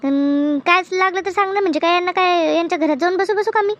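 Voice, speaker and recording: A woman voicing a gossipy, teasing village woman in a comedy cartoon, with an expressive, complaining way of talking. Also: very high voice, fast talker, no echo. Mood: aggrieved. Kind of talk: colloquial comedy cartoon dialogue.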